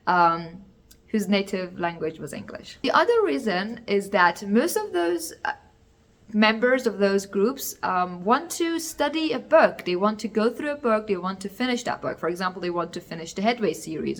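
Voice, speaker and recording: Chatty Iranian-accented young woman. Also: on the high side. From Iran. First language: Farsi. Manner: chatty, many fillers and restarts. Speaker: vlogger. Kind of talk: casual advice talk to camera.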